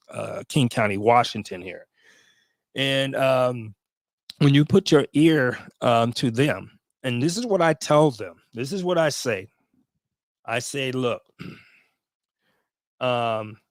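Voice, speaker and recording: Man, late 50s, relaxed veteran vlogger, meandering delivery with fillers, mid pitch, dry close sound. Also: no echo. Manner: rambling, lots of fillers and restarts. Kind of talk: casual talk to camera.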